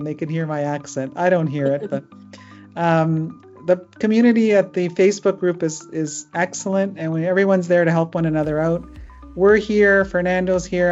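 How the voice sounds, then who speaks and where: A woman's voice: fairly low pitch, a little mellow. A middle-aged woman hosting a webinar as an immigration lawyer, chatting casually with many fillers. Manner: chatty, with frequent fillers and laughs. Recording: muffled lo-fi video call audio.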